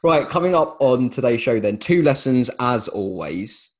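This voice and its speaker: A young man with a slightly husky, breathy voice, co-hosting a podcast. He talks casually into a studio microphone and lands teasing jokes in a dry, deadpan way. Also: medium pitch, moderate pace. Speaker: podcast co-host. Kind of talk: casual comedic chat.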